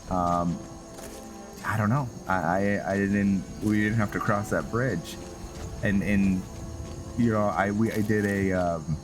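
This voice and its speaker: A man giving unscripted game commentary, thinking aloud in a halting way. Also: on the low side. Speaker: gaming video host, player. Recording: game music behind voice. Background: game music.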